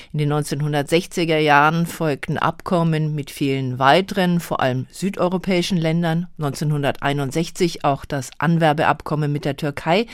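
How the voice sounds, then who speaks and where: A woman co-hosting a history podcast, reading scripted historical narration in a measured way. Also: deep voice, no echo. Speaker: podcast co-host. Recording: dry studio sound with faint music.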